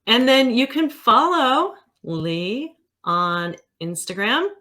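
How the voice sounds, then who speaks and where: A woman's voice: on the high side, big pitch swings, takes it slowly, pushed and bright. A woman hosting an interview show in a casual, playful, informal way. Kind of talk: casual chat.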